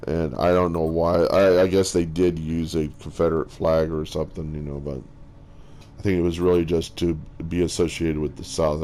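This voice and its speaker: Guy with a big low bass voice, mellow, slow, lots of ums and restarts, just rambling. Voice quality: strong bass voice. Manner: halting, with many fillers and restarts. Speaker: streamer. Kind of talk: casual rambling chat.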